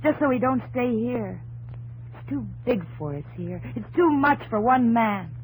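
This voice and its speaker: An actress playing a fearful, worn-out young American pioneer wife, plaintive and emotional, in an old radio drama. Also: female voice, fairly high pitch, expressive, measured pace, slight background noise, low-quality recording. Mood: despairing, weary. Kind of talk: 1950s radio drama acting.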